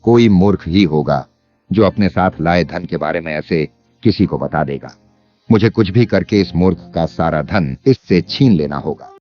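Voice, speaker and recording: A very deep-voiced, cunning landlord from a cartoon moral tale, acted by a man. He is greedy and speaks with sly emphasis. The sound is slightly dull, with music underneath. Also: normal speed, natural voice. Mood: scheming. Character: cunning, greedy village landlord, scheming and falsely wronged. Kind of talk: animated moral story character acting.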